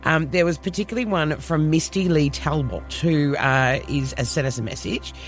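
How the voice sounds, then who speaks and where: A man's voice: on the high side, moderate pace, faint music behind. A man from New Zealand co-hosting a casual podcast, joking around and reading listener messages aloud. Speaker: podcast co-host.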